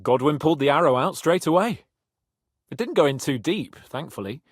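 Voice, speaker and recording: A man's voice: on the high side, expressive, fairly quick, no echo, clean studio sound. An actor playing a brash, haughty young medieval nobleman in a video game, cocky and sardonic in his delivery. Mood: earnest. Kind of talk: dramatic video game voice acting.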